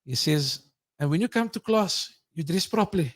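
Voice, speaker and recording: A man hosting a podcast with a slightly high voice. He tells his story in an animated way and gives each quoted person a voice of their own. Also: moderate pace. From not Egyptian. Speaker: podcast host. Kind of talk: casual conversation.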